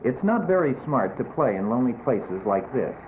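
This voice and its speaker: Animated male narrator in an old educational film. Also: medium pitch, moderate pace, slight background noise. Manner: admonishing, addressing children directly. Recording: muffled 1970s film soundtrack, music behind. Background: background music.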